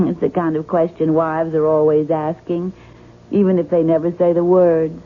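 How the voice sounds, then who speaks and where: An actress playing an earnest, anxious young American wife in a radio drama, direct and probing in manner. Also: female voice, deep voice, little pitch movement, normal speed. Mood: tentative, probing. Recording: muffled, noisy 1970s radio broadcast.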